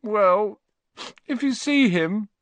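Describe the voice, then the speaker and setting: A timid little boy from a children's audiobook. His voice is very high and plaintive, wavering widely in pitch as if close to tears. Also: animated, slow, dry recording. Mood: tearful, miserable. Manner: plaintive, wailing. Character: timid, tearful, miserable round-faced young boy.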